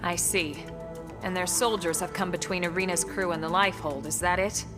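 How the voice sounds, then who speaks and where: A woman's voice: fairly low pitch, steady tone, normal speed, over music, lightly acted. Woman playing a commanding, stern colonel. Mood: brisk. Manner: commanding, crisp orders. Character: composed authoritative female colonel, stern and decisive. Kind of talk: video game voice acting.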